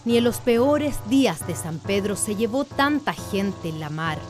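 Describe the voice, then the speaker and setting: A woman's voice: mid-range voice, lively intonation, unhurried. A woman narrating a scripted radio drama in a solemn storytelling manner. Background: faint music.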